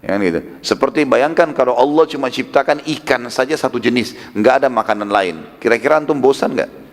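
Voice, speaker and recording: A man's voice: mid-range voice, fairly quick. A man preaching a religious lecture, conversational and emphatic, with rhetorical questions and casual asides. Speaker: Islamic preacher. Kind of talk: religious lecture retelling prophetic history. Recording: long hall reverb.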